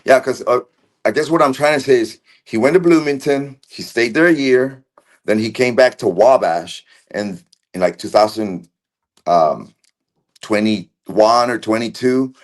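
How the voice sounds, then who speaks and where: A man of Argentine background, a guest on a video call, recalling events slowly with many fillers, restarts and pauses. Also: medium pitch. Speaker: interview guest. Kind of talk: casual recollection.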